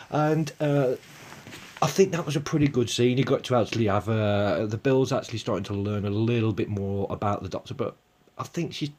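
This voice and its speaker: A man from the English Midlands talks in a thick Birmingham accent, lower pitched than most men. He rambles through his review with plenty of "uh" and "you know", tossing chatty asides to his viewers. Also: darkish tone, breathy edge. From England. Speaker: TV episode reviewer. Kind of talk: casual spoken review.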